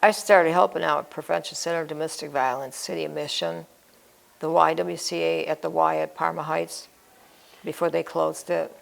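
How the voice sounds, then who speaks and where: A woman's voice: low voice, level tone, moderate pace, gravelly, low-quality recording. An older American woman giving a personal interview, plain-spoken, answering in short, fragmentary phrases. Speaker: interview guest. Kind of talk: personal life-story interview.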